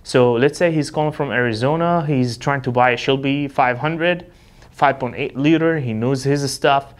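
A man's voice: medium pitch, normal speed, clear, resonant tone. Man giving an emphatic sales training talk. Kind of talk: instructional talk with acted example dialogues. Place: indoor studio with backdrop.

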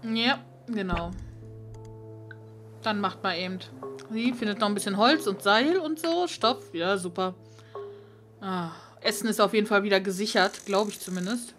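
A woman's voice: medium pitch, normal speed, pauses often, fairly bright tone. A woman doing casual let's play commentary, reading game text aloud and muttering to herself. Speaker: gaming let's player. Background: video game music.

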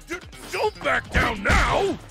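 High, creaky, blustering bandit boss. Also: male voice, sing-song, slow pace, exaggerated voice. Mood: rallying. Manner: bombastic, stammering when alarmed. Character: blustering muscular old bandit boss and father. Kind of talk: video game voice acting. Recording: game music under the voice.

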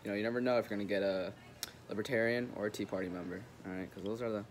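A man's voice: on the low side, steady tone, rapid-fire, loud music behind the voice. A young man joking around in a casual vacation vlog, filmed on a phone at arm's length at a beach house. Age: young adult. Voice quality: joking, goofy manner. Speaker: vlogger. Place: beach house and shore outdoors. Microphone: phone camera at arm's length.

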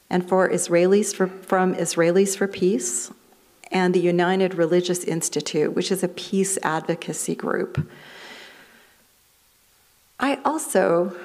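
A woman minister in her fifties with an American accent speaks at a lectern microphone in a fellowship hall. Her voice sits low for a woman, and her delivery is measured and explanatory as she walks through history in a sermon. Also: creaky, darkish tone.

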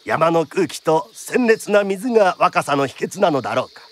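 An actor narrating a period radio drama as a theatrical kodan storyteller, formal and declamatory. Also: male voice, fairly high pitch, lively intonation, moderate pace, natural voice. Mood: dramatic narration. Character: lively traditional storyteller narrating a period tale.

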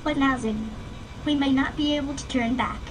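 A woman's voice: sits high, fairly even intonation, moderate pace, close to an everyday voice. A woman voicing a feisty, anxious little girl adventurer in a fantasy game fan dub. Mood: uneasy. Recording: noisy engine-like ambience.

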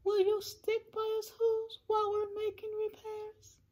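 A woman's voice: very high-pitched, slow, darkish tone, voice pushed up. A woman voicing a tiny, earnest, polite mayor of a miniature town in a small, thin voice, speaking courteously in storybook rhyme. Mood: plaintive, pleading. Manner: pleading, courteous rhyming speech.

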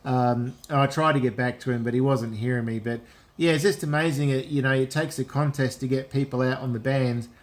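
Aussie guy on ham radio, matey, medium pitch, casual chat with radio lingo. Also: fairly even intonation, fairly quick. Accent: Australian. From Australia. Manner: matey, uses radio call phrases. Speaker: amateur radio operator. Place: indoors at a radio set.

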